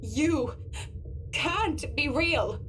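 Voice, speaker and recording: Horror game heroine: high-pitched, slow, earnest and hesitant, haunted by guilt. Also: female voice, lively intonation, fairly bright tone, a little hiss or hum, exaggerated voice. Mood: disbelieving, pained. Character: guilt-ridden grieving woman facing her dead first love. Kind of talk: visual novel game voice acting.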